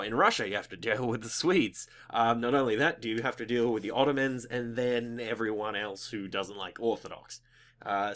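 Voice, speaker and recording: A young man with a slightly croaky voice giving casual, rambling gaming commentary as a video host, informal and mildly sweary. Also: fairly low pitch, fairly bright tone.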